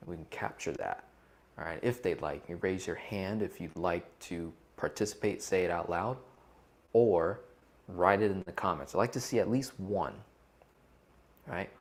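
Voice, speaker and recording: A man's voice: low voice, normal speed. A man co-hosting a casual, interactive coaching talk online, putting questions to his listeners and often checking in with them. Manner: conversational, asks questions and checks in with "right?". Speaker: co-host leading an interactive online seminar. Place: live video call session.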